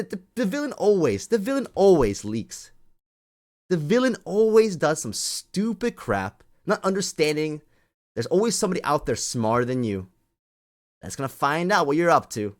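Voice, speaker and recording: Animated man on an exasperated rant. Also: on the high side. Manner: emphatic, repeats phrases for effect. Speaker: crypto community host. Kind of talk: impassioned off-the-cuff rant to an online audience.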